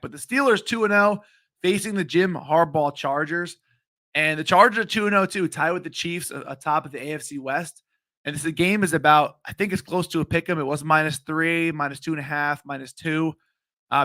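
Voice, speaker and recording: A man in his late twenties hosting a sports betting stream, talking casually with many ums, his voice a bit hoarse. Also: on the high side, sharp tone, dry recording. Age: late 20s. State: slightly hoarse voice. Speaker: sports betting show host. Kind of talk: live-streamed betting picks talk.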